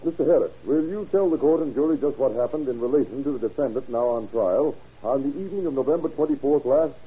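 An actor playing a formal, methodical prosecutor questioning witnesses in an old-time radio courtroom drama. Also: male voice, mid-range voice, expressive, fast, breathy edge. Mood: formal, probing. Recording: dull vintage radio recording.

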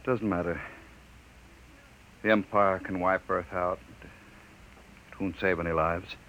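A low, forceful male voice of a desperate scientist behind bars. He is a classic 1950s radio drama lead. Intense and bright-edged. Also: fairly even intonation, slow pace, lo-fi sound, slight background noise. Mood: despairing. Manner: shouting in fury, later quiet and despairing. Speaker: lead actor.

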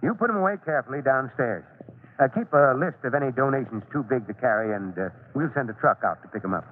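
A man acting a kindly, fatherly middle-aged fire chief in an old-time radio drama, with a folksy, gently hesitant delivery. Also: medium pitch, lively intonation, fast talker, close to an everyday voice. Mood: genial, easygoing. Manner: avuncular, folksy, with small hesitations. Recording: tinny, noisy old radio recording.

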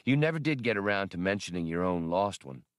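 A man's voice: low voice, expressive, brisk, clean tone, dry recording, clean studio sound. A man voicing a brash, impatient starship captain in an audiobook, blunt and sarcastic. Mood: pressing. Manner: blunt, sardonic. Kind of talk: audiobook character dialogue.

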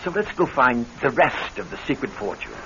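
An actor playing a scheming, greedy husband with a suave, mocking manner and a menacing edge, in classic radio drama style. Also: male voice, mid-range voice, very animated, normal speed, pushed and bright. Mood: smooth, cold. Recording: muffled old radio broadcast with faint hiss.

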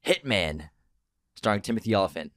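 A man's voice: lowish voice, lively intonation, a little fast, a bit bright, no room sound, clean studio sound. A man co-hosting a casual movie podcast, enthusiastic as he runs the quiz games. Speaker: podcast co-host.

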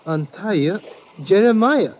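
A man's voice: high voice, animated, a little slow, slight background noise. A man playing a mild, friendly sailor sidekick in a 1950s radio adventure drama. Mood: mildly amused. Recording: dull vintage radio recording.

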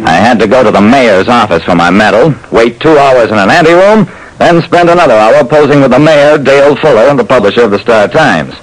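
A man's voice: medium pitch, fairly quick, natural voice, poor audio. A man playing a tough, wry private detective who narrates his cases in dramatic vintage radio style. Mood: dry, wry. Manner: wry, dramatic, clipped storytelling. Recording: old radio recording with faint noise.

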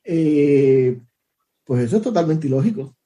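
A man's voice: fairly high pitch, expressive, a little slow, mellow. An older man, talking bluntly in a casual panel chat, often stalling and repeating words. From Puerto Rico. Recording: video call.

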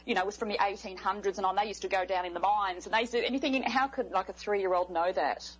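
A woman's voice: mid-range voice, fast talker, a little hiss or hum, low-quality recording. An Australian woman speaking over a Skype call as a guest, friendly and earnest, laughing readily. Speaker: guest clairvoyant medium. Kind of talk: interview on a call.

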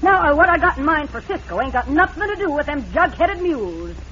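Radio western boisterous, cantankerous old American boss lady. Very high, swooping delivery, folksy and blustering. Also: big pitch swings, fairly quick, fairly bright tone, muffled recording. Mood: scornful. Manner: folksy, blustering frontier drawl. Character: cantankerous, boisterous old woman boss of a frontier borax outfit. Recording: noisy, band-limited vintage radio recording.

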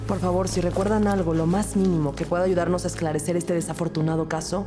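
A woman's voice: lowish voice, little pitch movement, quick pace, over music, lightly acted. An actress playing a composed police detective who narrates her case in a scripted crime audio drama. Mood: composed, serious.

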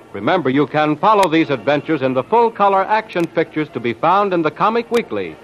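A man announcing and narrating a 1930s radio serial in a dramatic, emphatic scripted style. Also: fairly high pitch. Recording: dull old lo-fi radio recording.

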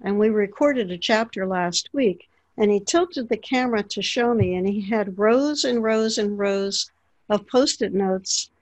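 Woman interviewer with a steady voice. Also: mid-range voice, measured pace, rough recording. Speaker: interviewer, ghostwriter. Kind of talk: conversational interview.

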